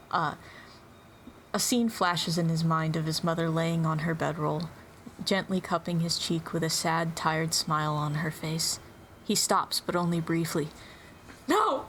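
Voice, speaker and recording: A woman's voice: deep voice, slight background noise. A woman reading scripted story narration in an informal dramatic reading. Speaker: reader in a group dramatic reading.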